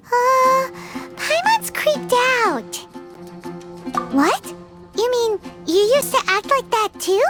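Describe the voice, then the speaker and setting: A woman voicing a tiny floating girl companion who is chatty, excitable, nosy and dramatic, and who speaks of herself in the third person. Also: very high-pitched, takes it slowly, exaggerated voice. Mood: curious. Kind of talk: game voice acting. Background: game music.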